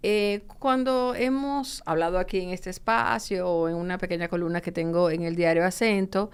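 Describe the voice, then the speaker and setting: A woman, hosting a podcast interview and often pausing on filler sounds. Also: fairly low pitch, steady tone, clean tone. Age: 60s. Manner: hesitant, many filler sounds. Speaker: podcast host. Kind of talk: interviewing guests.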